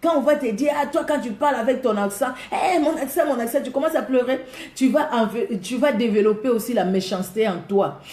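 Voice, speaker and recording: A woman's voice: on the high side, a little fast, darkish tone. Congolese woman preaching in an echoey room. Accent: Congolese French. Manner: emphatic, repeats key words, laughs often. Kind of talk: sermon.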